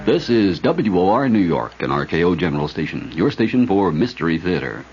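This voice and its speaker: Male radio announcer, low and lively, salesy, dull broadcast over loud music. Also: fairly quick. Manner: upbeat, salesy.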